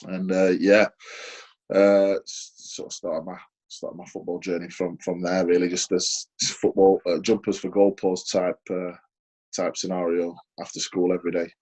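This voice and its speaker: A man's voice: deep voice, flat delivery, moderate pace, darkish tone, husky, low-quality recording. A man in his forties with a Sheffield accent, answering interview questions hesitantly, with lots of fillers and restarts. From Sheffield, England. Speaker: interview guest, former footballer.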